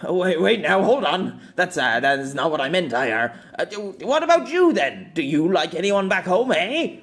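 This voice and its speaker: A man's voice: sits high, sing-song, normal speed, a bit bright, voice pushed up, full character voice. Man playing earnest, hesitant man over music. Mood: flustered. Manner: hesitant, reflective, confessional. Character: earnest, wistful, reserved man confiding his feelings. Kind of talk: amateur audiobook character dialogue.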